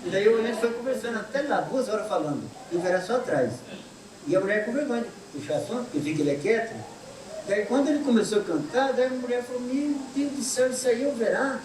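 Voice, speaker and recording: High-voiced, fast-talking Brazilian man. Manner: animated, joking storyteller. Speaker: guest. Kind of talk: casual anecdote. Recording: echoey room from far off, clear noise.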